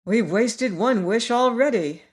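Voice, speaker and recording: A storyteller voicing a young boy who is easily upset and turns sulky, crying out high and loud. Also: a little slow, natural voice. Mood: peevish. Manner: wailing, high and loud in distress.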